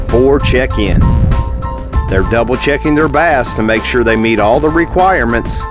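An easygoing man narrates a kayak fishing tournament at a middle pitch. His folksy voice-over sits over clear background music. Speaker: host and narrator of tournament video. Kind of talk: casual narration and chat.

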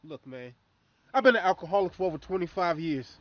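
A troubled middle-aged man played by a male actor speaks in a fairly high male voice, bitter and brooding. He delivers his lines as screen drama, with weighted pauses that hint at darkness underneath. The sound is dull and lo-fi. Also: expressive. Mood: angry, bitter. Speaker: actor performing a monologue and scene reel. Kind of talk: dramatic screen acting, monologue and scene.

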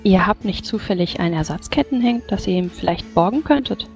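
A woman's voice: mid-range voice, animated, fairly quick, darkish tone, lightly acted. A woman playing a polite, practical mage in a tabletop game, speaking formally and courteously. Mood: deferential. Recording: dull microphone, background music.

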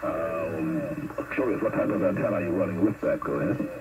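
A man talking over a CB radio link, relaxed and helpful with many fillers. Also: low voice, moderate pace. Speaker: distant CB radio operator. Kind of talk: CB radio contact. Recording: noisy CB radio reception.

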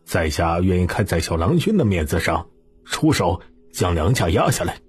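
A man voicing a wealthy country gentleman in an audiobook, courteous, formal and unctuous, obsequious and smiling. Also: low voice, very animated, normal speed, breathy edge, dry recording, faint music behind, exaggerated voice. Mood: smiling, placating. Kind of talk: audiobook character voice.

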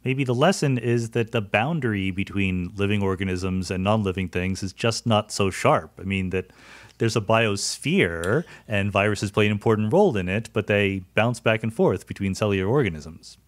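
A middle-aged man with a lowish voice hosts a podcast, asking curious, easygoing questions with fillers as he goes. The recording is close and dry, with no room sound around him. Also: moderate pace, dry recording. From United States. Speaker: podcast host. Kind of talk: conversational science interview.

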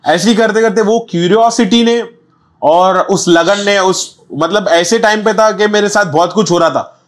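A young man with a Mumbai Hindi accent, telling stories in a casual interview with lively, repetitive street slang. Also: high-pitched, normal speed. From Mumbai, India. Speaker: rapper guest. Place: small studio set with chairs.

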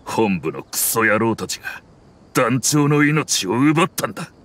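A man's voice: mid-range voice, animated, unhurried, fairly bright tone, slight background noise. An actor voicing a bitter, resolute male mercenary veteran in a game dub, recounting events earnestly.

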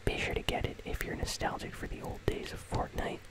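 Whispering ASMR gaming host. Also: a little fast. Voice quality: breathy, unvoiced whisper. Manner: casual, conversational. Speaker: host of a gaming news channel. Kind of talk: whispered ASMR game commentary.

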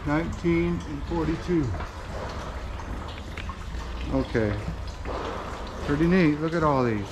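A man's voice: fairly high pitch, takes it slowly, background noise. A middle-aged American man narrating a casual vlog in a folksy, rambling way, as if chatting to himself and the viewer. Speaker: vlogger and tradesman.

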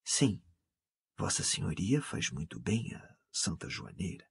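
A deferential young-ranking clergyman from a storybook, put on by a narrator, timid and servile. He speaks hesitantly and respectfully in a hushed, husky voice, insinuating more than stating. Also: medium pitch, big pitch swings, unhurried, dry recording, clean studio sound, full character voice. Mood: hushed, obsequious. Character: timid, servile male assistant priest, deferential, envious.

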